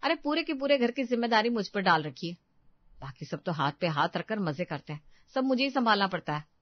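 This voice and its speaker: A woman's voice: mid-range voice, sing-song, a little fast, highs cut off. A woman voicing a young daughter-in-law, martyred and self-important, grumbling with sarcasm in a family story. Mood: self-pitying. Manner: sarcastic, put-upon grumbling. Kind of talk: Hindi family story acting.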